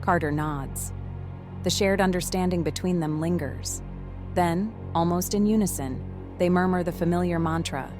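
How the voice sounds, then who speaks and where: A female narrator delivers scripted horror drama prose. Her voice is very low for a woman and sits over a clear music bed. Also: normal speed.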